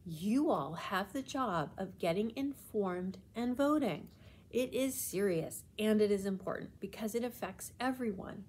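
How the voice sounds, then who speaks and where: A woman voicing an encouraging, earnest storybook schoolteacher, explaining things in a measured, emphatic way. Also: medium pitch, lively intonation, darkish tone, slightly rough. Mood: earnest, solemn.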